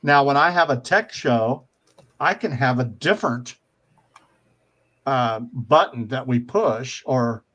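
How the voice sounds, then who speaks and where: A man's voice: mid-range voice, a bit bright. Rambling man in his seventies hosting. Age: 70s. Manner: rambling, many fillers and restarts. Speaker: talk show host. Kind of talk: casual live-stream chat.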